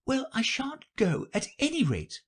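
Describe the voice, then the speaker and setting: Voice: sits high, wildly swooping pitch, unhurried, slightly rough, pitched above the performer's own voice, full character voice. A narrator voicing a plucky, sensible young girl with a light, matter-of-fact way of contradicting, in a storybook. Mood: defiant.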